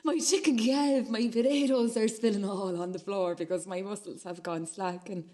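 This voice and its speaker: An actress playing a shaken, obsessive witness, delivering an intense and halting radio drama monologue with repeated phrases. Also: female voice, medium pitch, steady tone, warm tone, lightly acted. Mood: shocked. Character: shaken, obsessive witness recounting violent footage.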